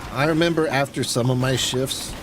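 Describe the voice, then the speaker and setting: A man's voice: medium pitch, fairly even intonation, moderate pace, music in the background, rough recording. An American man chatting casually on a game livestream, rambling in a conversational way. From United States. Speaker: game streamer. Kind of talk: casual livestream chat while gaming.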